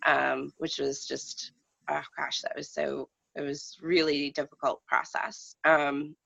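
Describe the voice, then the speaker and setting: Low, creaky-voiced woman telling stories. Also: level tone, fairly bright tone. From United States, lives in New England. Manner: halting, reflective, trailing phrases. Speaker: guest storyteller. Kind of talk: personal storytelling in casual conversation. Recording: dull, roomy lo-fi recording.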